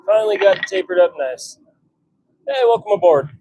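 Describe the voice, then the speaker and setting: A man chatting casually on a live stream, thinking out loud as he works in his workshop. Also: high voice, animated, brisk, background noise. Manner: chatty, thinking out loud while working at the forge. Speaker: livestream host, hobby blacksmith. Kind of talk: casual live-stream chat while working. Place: home forge workshop.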